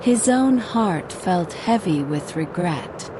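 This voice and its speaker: Woman folktale narrator: slow, dramatic, scripted storytelling with noticeable background ambience. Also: lowish voice, lively intonation, background noise.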